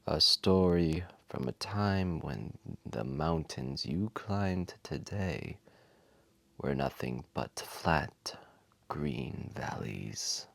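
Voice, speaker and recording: Ancient male dragon: weary, imposing storyteller, gravelly and breathy, swooping intonation, close dry sound, audio roleplay. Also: very deep voice, lively intonation, no echo, exaggerated voice. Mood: soothing, solemn. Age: old. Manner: grandiose, unhurried, dramatic pauses.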